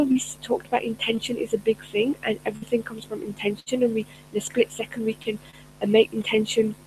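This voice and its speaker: A middle-aged woman whose first language is Urdu speaks earnestly and casually to her viewers as a personal development coach. She often pauses on fillers and restarts her sentences, with a faint hum in the background. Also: a little high, darkish tone, poor audio. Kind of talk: casual spoken talk to camera.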